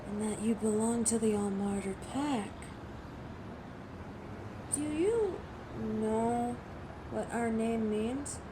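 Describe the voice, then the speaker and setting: Girl playing ruthless alpha werewolf lady, slow n imperious, sardonic, noisy background, audio roleplay. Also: on the high side. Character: commanding, exasperated, ruthless female alpha werewolf. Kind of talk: dramatic roleplay audio acting.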